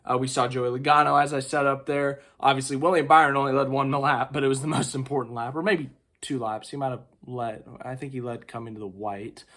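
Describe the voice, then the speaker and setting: A man with strep throat giving a casual, chatty race recap, excitable and full of fillers. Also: medium pitch, brisk, few pauses. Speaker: racing vlogger.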